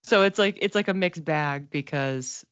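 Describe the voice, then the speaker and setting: Casting: female tarot reader, low register, chatty and halting with a giggly streak, slightly muffled lo-fi recording. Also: fairly bright tone, no room sound. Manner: giggly, informal, halting and reflective. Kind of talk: casual tarot reading monologue.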